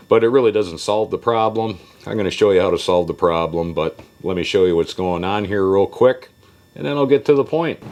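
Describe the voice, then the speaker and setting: Man, very low voice, folksy plainspoken tutorial host, informal walkthrough, faint vehicle-like hum behind him. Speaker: host of a car audio tutorial channel.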